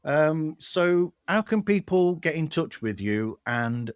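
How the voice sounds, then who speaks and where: A man's voice: fairly high pitch, sing-song, slow, clear, resonant tone, no room sound, highs cut off. A man hosting a casual podcast conversation. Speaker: podcast host.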